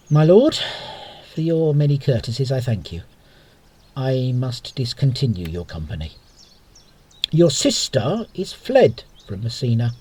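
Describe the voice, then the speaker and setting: An actor playing a proud, stern gentleman soldier in a Shakespearean radio play, speaking gravely and formally. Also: medium pitch, exaggerated voice. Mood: cold resolute. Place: home recording.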